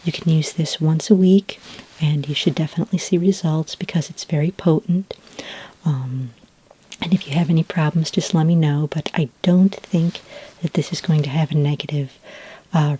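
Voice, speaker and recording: A woman doing a soft-spoken, half-whispered ASMR roleplay as a calm, reassuring skin care consultant, explaining gently and a little hesitantly. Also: sits low, normal speed, husky, poor audio.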